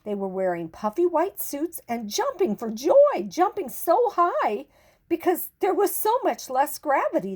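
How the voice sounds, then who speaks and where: An older woman narrating a children's picture book expressively, with deliberate dramatic emphasis and pauses. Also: a little high, a little mellow. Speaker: storyteller reading to children.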